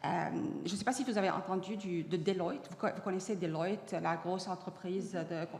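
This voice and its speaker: A woman's voice: fairly low pitch, normal speed, vocal fry, a little mellow. A woman whose first language is Arabic, speaking as a panelist in a public discussion in an earnest way, often restarting her sentences. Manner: earnest, many restarts and fillers. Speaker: panelist on AI in education. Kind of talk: panel discussion answering audience. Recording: long hall reverb.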